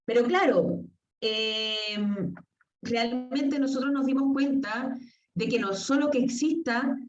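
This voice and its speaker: A woman from southern Spain, giving an academic talk with slides and telling stories to her audience. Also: a little high. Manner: storytelling, addresses audience directly with questions. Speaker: lecturer. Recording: echoey, distant, dull lo-fi sound.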